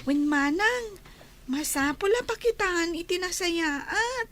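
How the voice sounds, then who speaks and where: A woman voicing a small, excitable, grateful child, the younger sibling in a radio comedy drama. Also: very high voice, slow pace, heavily acted. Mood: admiring.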